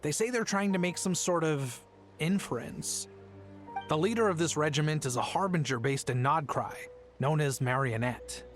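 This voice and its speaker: An actor playing a nervous, gentle merchant father in a video game, hesitant and placating. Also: a little high, normal speed, faint music behind, lightly acted. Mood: uneasy.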